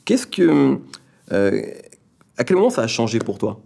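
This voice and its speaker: A man hosting a casual interview, asking quick conversational questions. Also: medium pitch, very animated, vocal fry, a little mellow. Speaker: interviewer host.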